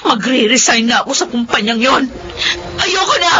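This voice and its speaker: A woman's voice: fairly high pitch, lively intonation, moderate pace, close to an everyday voice. A woman acting as a virtuous, earnest young wife in a Filipino radio drama, with an emotional, melodramatic delivery. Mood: tearful, distressed. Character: distressed young wife, virtuous, tearful, earnest office employee. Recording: muffled radio drama audio, music behind.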